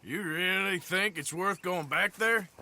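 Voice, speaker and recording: An actor playing a sceptical Old West outlaw in a video game, with an American Western drawl and wry, blunt talk. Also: male voice, high-pitched, unhurried, pushed and bright, a little hiss or hum, exaggerated voice. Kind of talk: video game cutscene acting.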